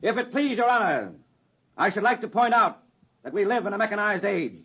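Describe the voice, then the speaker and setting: A persuasive American defense lawyer in an old radio play. He is high-voiced and husky, with an oratorical, polite delivery. The recording is dull. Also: big pitch swings, brisk, bright, forceful tone, full character voice. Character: smooth-talking, persuasive male defense lawyer in court. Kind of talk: 1950s radio drama acting.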